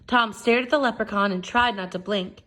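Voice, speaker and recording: Lady reading a kids story out loud, expressive narration, middle pitched voice. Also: moderate pace, a little hiss or hum. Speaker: storybook reader. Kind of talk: expressive read-aloud narration.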